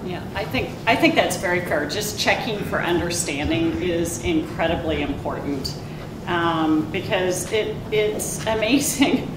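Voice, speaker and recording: Woman executive, frank panel talk, lowish voice, distant echoey hall. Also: unhurried. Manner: frank, conversational, laughs at herself. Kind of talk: panel discussion remarks. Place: large university event hall. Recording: echoey hall, distant mic, background rumble.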